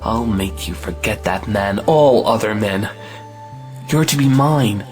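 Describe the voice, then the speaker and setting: A man's voice: on the low side, slow, a little mellow, faint music behind. A man playing a hot-blooded, possessive suitor in an audio play, passionate and melodramatic.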